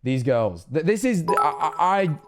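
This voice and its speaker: A young man in his twenties streaming from his bedroom, rambling and trailing off quietly, with a voice that is going rough and hoarse. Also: fairly high pitch, very animated, normal speed, music in the background. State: losing his voice, rough throat. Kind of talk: casual reaction stream chat. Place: bedroom streaming setup indoors. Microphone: headset on a streaming setup.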